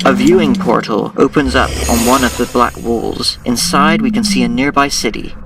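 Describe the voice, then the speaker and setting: A man acting as narrator of a superhero audio drama, reading scripted scenes in a deliberate, dramatic way. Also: mid-range voice, far from the mic, noisy background, natural voice. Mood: suspenseful.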